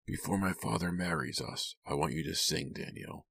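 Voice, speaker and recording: Controlling young villain for a horror audiobook, acted by a male reader: bass-deep, hushed, softly commanding, quietly menacing. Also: lively intonation, unhurried, no echo, heavily acted. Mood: smug, soft. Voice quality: hushed, breathy.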